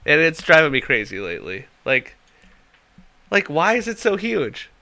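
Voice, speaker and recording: A man's voice: fairly high pitch, wildly swooping pitch, normal speed, vocal fry, sharp tone. A young man with a stuffy nose, co-hosting a casual tech chat and rambling as he loses his train of thought. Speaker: podcast co-host.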